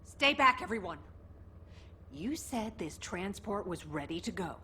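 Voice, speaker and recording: A woman's voice: medium pitch, sing-song, unhurried, bright, forceful tone, slight background noise. An actress playing a desperate, defiant armed mother in a sci-fi game, intense and threatening. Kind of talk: dramatic game voice acting.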